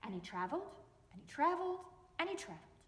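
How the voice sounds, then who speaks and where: A woman reads a children's story aloud in a high, very animated voice. She takes her time, stretching words for suspense in a theatrical way. The room adds a noticeable echo. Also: quick pace. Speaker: library storytime host and storyteller. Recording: echoey reverberant room.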